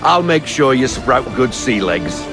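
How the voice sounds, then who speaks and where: An actor playing a hearty, gruff 18th-century sea captain in a video game, salty and jovial in manner. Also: male voice, mid-range voice, big pitch swings, measured pace, over music. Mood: hearty, proud. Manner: salty, jovial, barks orders. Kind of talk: video game cutscene acting.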